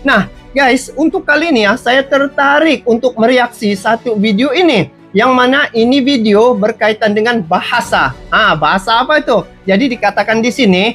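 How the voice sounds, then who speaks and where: A woman's voice: a little high, normal speed, a bit bright. A woman from Sabah with a Sabah Malaysian accent, giving casual, conversational reaction commentary as a channel host, speaking directly to viewers. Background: music.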